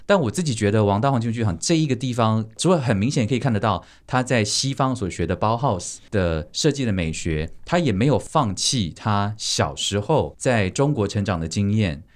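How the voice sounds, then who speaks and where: A man with a fairly low voice hosts a podcast. He talks conversationally to listeners and guests, now and then slipping in an English word. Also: animated, fairly quick, few pauses. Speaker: podcast host. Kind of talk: conversational hosting.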